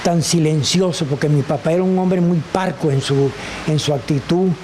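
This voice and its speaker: An older man with a Venezuelan accent, a guest telling animated stories and acting out the voices of people he quotes. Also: on the high side, moderate pace, slight background noise. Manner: expressive, acts out quoted voices, laughs often. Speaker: guest, actor and teacher. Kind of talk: animated storytelling.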